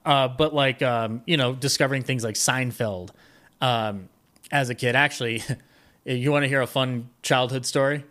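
A man with a mid-pitched voice talks casually as an interview guest. He rambles through his recollections in a halting way, often doubling back and rephrasing in the middle of a sentence. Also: a bit bright. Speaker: podcast guest. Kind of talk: casual conversational interview, reminiscing.

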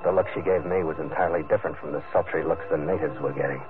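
Stubborn sea captain, low-pitched, terse, brooding, vintage radio adventure, thin recording. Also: male voice, fairly even intonation, racing. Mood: tense, watchful. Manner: terse, matter-of-fact, brooding. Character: hard-boiled, stubborn sea captain narrating his adventure. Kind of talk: old-time radio adventure narration and acting. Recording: narrow-band old radio recording under loud music.

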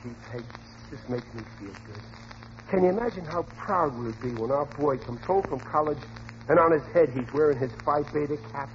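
A man's voice: on the high side, fairly quick, noisy background, natural voice. A man with an American accent playing a blustering, uneducated but well-meaning middle-aged father in a 1940s radio comedy, stammering and repeating himself, on an old muffled recording. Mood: proud, fond.

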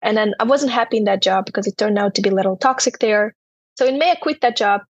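Husky young woman. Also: medium pitch, fast talker, no room sound, muffled recording. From Turkmenistan. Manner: earnest, open personal storytelling. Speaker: podcast guest. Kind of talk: casual interview storytelling.

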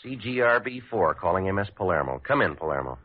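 A man's voice: low voice, sing-song, quick pace, a little hiss or hum. A man acting a businesslike coast guard radio operator in a vintage radio drama, matter-of-fact. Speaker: actor in a radio medical drama. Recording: muffled old radio recording.

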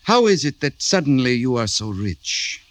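A man's voice: medium pitch, big pitch swings, moderate pace, natural voice. An actor playing a poor, envious Russian villager prying into his friend's fortune in a radio drama, probing and insistent. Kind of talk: classic radio drama acting.

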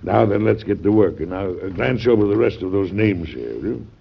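A man's voice: lowish voice, lively intonation, a little fast, creaky, slightly rough, exaggerated voice. A man acting an elderly, stubborn, principled President with sputtering, emphatic delivery in an old-time radio drama. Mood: firm, earnest. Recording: dull lo-fi old radio recording.

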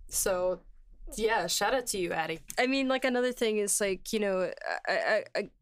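A woman hosting a podcast, chatting casually and enthusiastically about making a show, quick to laugh. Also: medium pitch. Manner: effusive, enthusiastic, prone to laughing. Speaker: podcast host and series writer.

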